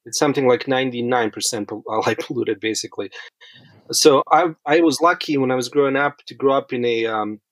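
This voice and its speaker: A man's voice: medium pitch, brisk, fairly bright tone, no room sound. A man from rural Bosnia telling his story as a podcast guest, reflective and feeling his way through sentences. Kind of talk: conversational interview storytelling.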